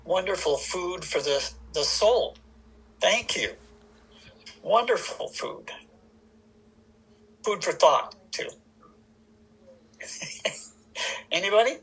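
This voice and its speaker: Slow, bright-voiced male host. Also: sits high, animated, husky, rough recording. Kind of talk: casual chat hosting a video meeting. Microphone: video call.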